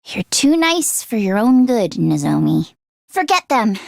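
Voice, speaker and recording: A woman voice acting an earnest, anxious teenage schoolgirl in an English video game, with expressive, emotionally heightened delivery. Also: mid-range voice, measured pace, gravelly, no room sound. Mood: concerned.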